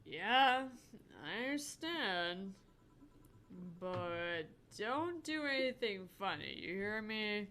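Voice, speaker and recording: Woman reading as a slow-spoken, protective female friend. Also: medium pitch, lively intonation, sharp tone, a little hiss or hum, heavily acted. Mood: concerned, firm. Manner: caring, insistent, measured. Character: concerned, protective woman friend, gently firm.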